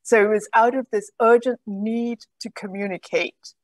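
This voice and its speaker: A middle-aged woman, a guest on a video call, explaining in a measured way. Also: fairly high pitch, fairly even intonation, dry recording. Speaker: guest, program director.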